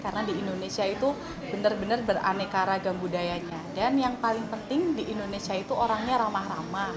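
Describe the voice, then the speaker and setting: A woman's voice: medium pitch, brisk, over a noisy crowd. A woman from Yogyakarta answering interview questions in an upbeat, enthusiastic way.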